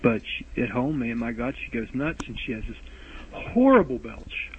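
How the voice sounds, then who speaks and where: A man's voice: mid-range voice, very animated, normal speed, a little hiss or hum. A man leaving a rambling voicemail for podcast hosts, talking in circles, restarting, and fretting about his lisp. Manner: rambling, self-interrupting, anxious about a lisp. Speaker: caller leaving a voice message. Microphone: phone voicemail recorder. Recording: tinny phone-line voice message.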